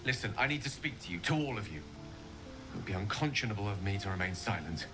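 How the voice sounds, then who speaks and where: An actor playing an eloquent, wistful wizard in a video game, a man speaking in measured, confessional monologue. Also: fairly low pitch, lively intonation. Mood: grave, confessional. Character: eloquent, wistful male wizard, once a prodigy. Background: music.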